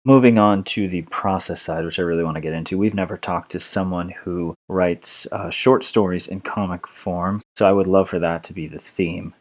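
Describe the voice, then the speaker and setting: A man hosting a podcast, asking his guest questions in a friendly, relaxed way. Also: sits low, normal speed, few pauses. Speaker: podcast host. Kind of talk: podcast interview.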